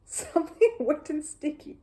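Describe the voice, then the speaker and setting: A woman's voice: high voice, animated, measured pace, dark tone, pitched above the performer's own voice. A woman voicing a bad-tempered, indignant, blustering male neighbour in a children's storybook. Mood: disgusted. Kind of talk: children's storybook character reading.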